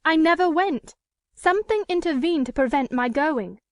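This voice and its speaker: Proud, indignant storybook lady, played by a woman narrator: very high pitch, formal, emphatic, close dry sound. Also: animated, normal speed, dry recording. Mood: desperate, impassioned. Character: proud, defiant woman with an unhappy secret past. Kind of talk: dramatic audiobook character reading.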